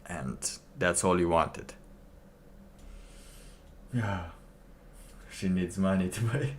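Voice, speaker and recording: A man with a very low voice, talking slowly as he reacts to a clip on stream. He muses aloud and chuckles to himself. A faint hiss sits under the recording. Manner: slow, musing, laughs to himself. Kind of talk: casual commentary.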